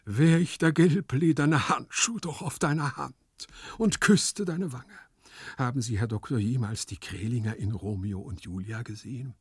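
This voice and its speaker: A man's voice: fairly low pitch, brisk, hardly any pauses, warm tone, husky, dry recording, studio quality. A man voicing a corpulent, lovesick storybook nobleman who sighs and declaims with theatrical sentimentality. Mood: sighing. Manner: pathetic declamation, sighing, swooning.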